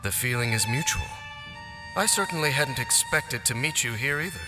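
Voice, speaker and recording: A man playing a solemn, guarded wandering swordsman who speaks in a measured, formal way in a game cutscene. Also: medium pitch, animated, lightly acted. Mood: grave. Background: game score music with strings.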